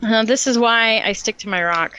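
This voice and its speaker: A woman's voice: fairly high pitch, moderate pace, bright, forceful tone, slight background noise, poor audio. A woman co-hosting a podcast, chatting casually and joking with the group. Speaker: podcast host.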